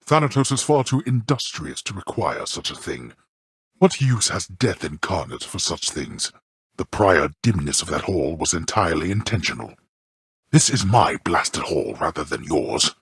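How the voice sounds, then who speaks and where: A man playing a stern, scornful god-king of the underworld in a video game, speaking in a formal, haughty, measured way with a gravelly, husky voice. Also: sits low, very animated, fairly bright tone, dry recording, studio quality, heavily acted. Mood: scornful, irritated. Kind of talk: theatrical video game character dialogue.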